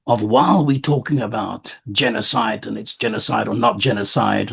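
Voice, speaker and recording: A man's voice: medium pitch, gravelly, breathy edge. A man with a South African accent hosting a podcast, telling a story and thinking aloud as he builds up to a question. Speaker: podcast host. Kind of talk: conversational interview. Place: lives abroad, recording remotely.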